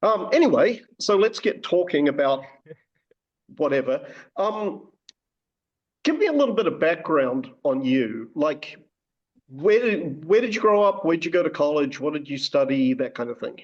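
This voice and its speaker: A man with a fairly high voice asks questions in a wry, joking, easygoing way. His voice sounds muffled and lo-fi, as it would over a video call. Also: big pitch swings, moderate pace. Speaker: interviewer. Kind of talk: casual video call chat. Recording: dull lo-fi video call audio.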